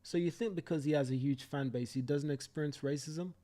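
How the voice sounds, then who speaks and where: A man's voice: fairly high pitch, fairly quick, mellow. A young Jamaican man hosting a live stream, ranting fiercely with profanity and repeating himself for emphasis. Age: young adult. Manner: fiery, profane ranting with repetitions. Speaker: livestream host. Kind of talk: heated live commentary.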